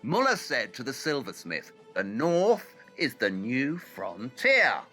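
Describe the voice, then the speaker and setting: A man's voice: mid-range voice, huge pitch swings, slow pace, sharp tone, soft background music, exaggerated voice. A man playing a shrewd, precise, self-assured Victorian detective in an audio drama. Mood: dawning realisation.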